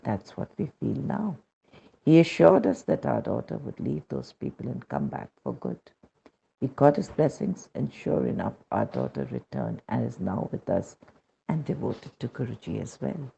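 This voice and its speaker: Husky, gravelly Indian-accented man reading. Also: mid-range voice, very animated, moderate pace, dark tone. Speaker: reader of devotional testimonies. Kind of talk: scripted narration of a devotional testimony. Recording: dull, lo-fi recording.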